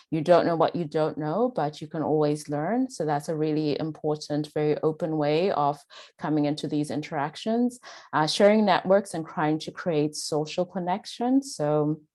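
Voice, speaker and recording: Woman, Zimbabwean British accent, deep voice, earnest, filler-heavy delivery, faculty presentation. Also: mellow.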